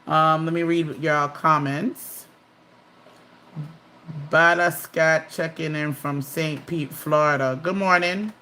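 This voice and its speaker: A woman's voice: low voice, fairly even intonation, slow, sharp tone. A woman casually hosting a live stream, chatting and reading viewer comments aloud.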